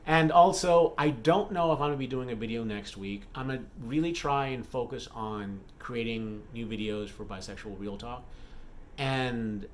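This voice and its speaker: A man speaks in a casual vlog style at an average pitch. He rambles candidly, like a creator updating regular viewers. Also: moderate pace, slight background noise. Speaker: YouTube vlogger and author.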